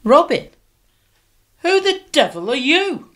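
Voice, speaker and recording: A woman's voice: high voice, big pitch swings, slow pace, fairly bright tone, exaggerated voice. A woman playing the sheriff's sarcastic, scheming sister in an amateur radio play. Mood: sharp. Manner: sarcastic, tart. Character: sharp-tongued scheming sheriff's sister Cordelia.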